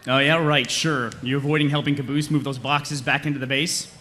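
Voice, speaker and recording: A man's voice: mid-range voice, steady tone, fairly quick, bright, forceful tone, close to an everyday voice. A man playing a sarcastic, shirking soldier in a comedic table read, with a dry, hesitant, conversational delivery. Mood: evasive.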